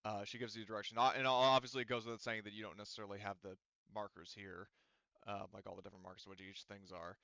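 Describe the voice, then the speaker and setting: A man running a tabletop roleplaying game off the cuff as game master, rambling and halting with frequent restarts and asides. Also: lowish voice, flat delivery, bright, forceful tone, smooth, no echo, lo-fi sound.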